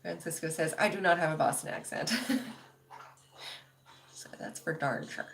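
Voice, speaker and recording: A woman in her thirties hosting a casual livestream, reading chat comments aloud, laughing often and trailing off into soft asides. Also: lowish voice, moderate pace, gravelly, a little hiss or hum.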